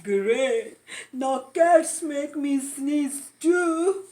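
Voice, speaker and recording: A woman voicing an anxious, melodramatic elephant in a children's storybook, halting and stammering. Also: sits high, expressive, heavily acted. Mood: miserable. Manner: halting, stammering, overdramatic with loud achoos. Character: anxious, melodramatic sneezing elephant, friend of a piggy. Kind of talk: children's storybook read-aloud.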